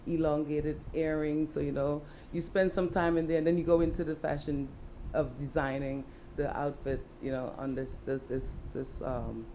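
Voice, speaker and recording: A woman's voice: deep voice, steady tone, moderate pace, few pauses, warm tone, slight background noise. A woman from Trinidad, an artist and fashion designer, answering interview questions in a reflective way with many "you know" fillers.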